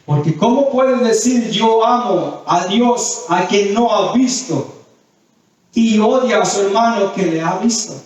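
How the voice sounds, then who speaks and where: Male preacher, high-pitched voice, didactic sermon delivery with repeated phrases; very reverberant, distant-miked sound. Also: a little slow, a little mellow. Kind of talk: sermon with scripture readings and questions to the congregation. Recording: very echoey hall, distant mic.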